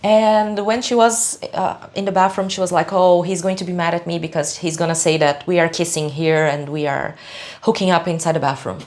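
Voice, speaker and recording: A woman with a Brazilian accent, speaking in a second language, talks in a fairly low voice. She hosts an opinion vlog, stating her views bluntly and with emphasis, often pausing to find the next word. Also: fairly even intonation, darkish tone. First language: Portuguese. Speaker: video channel host. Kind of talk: opinionated talk to camera.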